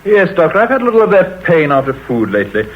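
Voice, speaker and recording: An actor playing an uneasy, secretive, middle-aged country squire confiding in vintage radio drama style. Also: male voice, sits high, big pitch swings, fast talker. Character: anxious, secretive wealthy country squire nearing fifty. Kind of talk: 1930s-40s radio drama acting. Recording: muffled, noisy vintage radio recording.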